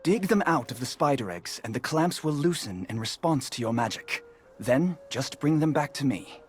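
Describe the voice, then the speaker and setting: An actor playing an earnest, courteous resistance investigator in a video game, polite and a little hesitant when worried. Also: male voice, medium pitch, expressive, moderate pace, soft background music, lightly acted. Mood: earnest, urgent. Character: earnest, courteous male resistance investigator, anxious about a missing child. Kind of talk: video game character dialogue.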